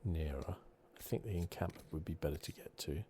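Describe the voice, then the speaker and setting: A soft-spoken man giving relaxed, mildly chatty ASMR commentary as he plays a video game. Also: a bass voice, a little fast, faint music behind. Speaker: gaming channel host.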